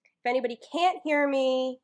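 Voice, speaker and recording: Woman poet from New York, higher voice, nervous between poems, reads at a library mic. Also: moderate pace, darkish tone. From New York, United States. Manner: a little nervous, many fillers between poems. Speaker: featured poet. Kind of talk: reading her own poetry live. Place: public library event room. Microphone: microphone in front of a live audience.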